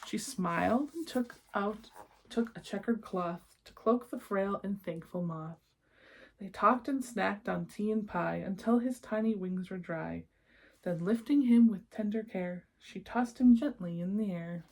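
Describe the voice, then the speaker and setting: A woman reading a picture book aloud expressively, with gentle, encouraging asides spoken directly to young listeners. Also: medium pitch, unhurried. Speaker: children's read-aloud presenter.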